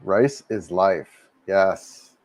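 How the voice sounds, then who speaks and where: A man hosting a casual livestream, a little hoarse from a cold, rambling and repeating himself as he answers viewer comments. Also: low-pitched, drawn-out words, a little mellow, dry recording. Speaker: livestream host. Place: home in Cebu, Philippines.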